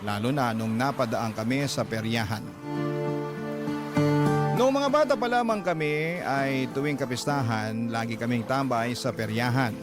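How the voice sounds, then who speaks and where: Man, Filipino accent, scripted story narration, medium pitch, strong guitar backing. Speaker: storyteller host. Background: prominent guitar music.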